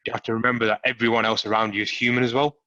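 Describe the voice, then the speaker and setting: A man's voice: mid-range voice, little pitch movement, racing, bright, forceful tone, dry recording, lo-fi sound. A man with a Middlesbrough accent hosting a casual chat on a video call, talking earnestly and leaning on lots of filler phrases. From Middlesbrough, England. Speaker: host.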